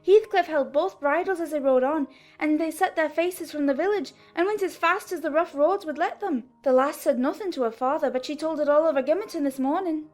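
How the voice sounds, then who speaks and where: A woman's voice: sits high, brisk, few pauses, darkish tone, faint music behind. A woman giving a dramatized first-person narration from a classic novel.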